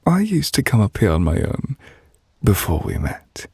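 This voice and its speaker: A man playing a gentle, refined, possessive witch in love, speaking breathy and hushed in an intimate ASMR romance roleplay. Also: on the low side, wildly swooping pitch, normal speed, no room sound, clean studio sound, heavily acted. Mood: fond, wistful. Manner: gentle, refined, wistful, formal phrasing. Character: soft-spoken, tender, possessive male witch in love.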